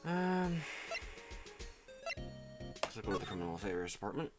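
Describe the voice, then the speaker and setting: Low-voiced woman streaming a game. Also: very animated, normal speed, music in the background. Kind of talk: live game stream, reading on-screen text aloud.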